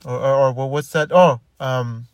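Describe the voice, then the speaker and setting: A man's voice: mid-range voice, steady tone, normal speed, smooth, no room sound. A man talking casually in a rambling monologue, restarting and repeating his words often. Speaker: commentator.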